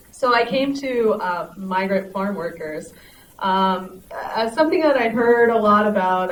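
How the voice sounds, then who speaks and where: A woman's voice: mid-range voice, a little hiss or hum. A woman researcher speaking fluently and with engagement on a conference panel. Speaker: public health researcher, panelist. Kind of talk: panel discussion. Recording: roomy hall from a distant mic.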